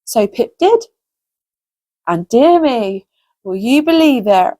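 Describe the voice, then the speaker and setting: Woman, children's storyteller, fairly high voice, animated, unhurried, expressive. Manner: expressive, simple direct address to children. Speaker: host reading children's stories.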